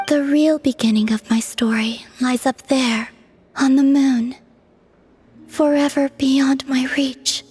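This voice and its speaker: A woman voicing a serene, melancholy young moon goddess, breathy and whispery, speaking softly and poetically in a video game dub. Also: high-pitched, fairly even intonation, exaggerated voice. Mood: wistful. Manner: soft, slow and poetic.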